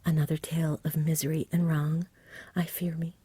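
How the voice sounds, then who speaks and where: A woman's voice: sits low, little pitch movement, normal speed, breathy edge, no room sound. A woman voicing a kindly, solemn, chivalrous male saint in a whispered ASMR storybook reading. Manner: solemn, old-fashioned, gentle.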